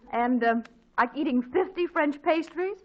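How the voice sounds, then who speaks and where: A woman playing a patient, dry-witted mother in a 1940s radio comedy, with a deadpan, teasing manner. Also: high-pitched, a little slow. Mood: wry. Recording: muffled 1940s radio recording.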